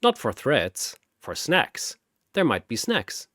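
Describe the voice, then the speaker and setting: A man giving scripted story narration in a dramatic manner with wry, deadpan asides. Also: medium pitch, slow, dry recording, studio quality.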